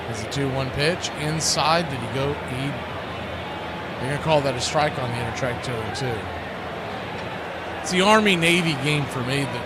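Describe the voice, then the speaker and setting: Male baseball commentator, American accent, higher-pitched voice, casual chat between calls, loud noise behind. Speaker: baseball play-by-play announcer.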